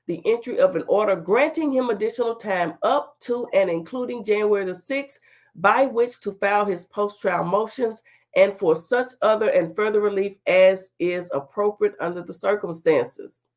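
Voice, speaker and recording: A woman's voice: mid-range voice, moderate pace. A middle-aged woman with a stuffy cold, hosting her own talk show and reading court papers aloud, breaking off for sassy, chatty asides. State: stuffy, under the weather. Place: home studio with virtual background.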